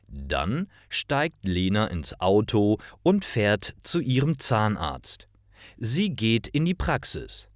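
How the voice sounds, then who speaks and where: A man narrating simple scripted stories for language learners, reading carefully in clearly phrased short sentences. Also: lowish voice, no echo, clean studio sound.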